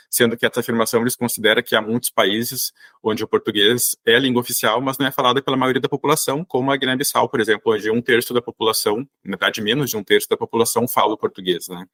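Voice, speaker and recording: A Brazilian man hosting an interview, speaking in a thoughtful, measured way with a few hesitations. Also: medium pitch, fairly bright tone, dry recording. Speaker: podcast host. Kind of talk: conversational interview.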